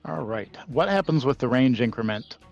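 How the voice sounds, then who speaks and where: A man with a middle-pitched voice plays a tabletop roleplaying game. He talks through his moves and rules in a casual way, with soft music in the background. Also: expressive, moderate pace, vocal fry, muffled recording.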